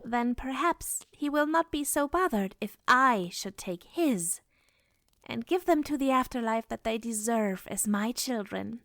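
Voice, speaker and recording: Woman acting protective goddess, slow, composed, formal, slightly high, fantasy audio drama. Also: lively intonation, gravelly, fairly bright tone, no echo, exaggerated voice. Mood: resolute. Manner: formal, measured.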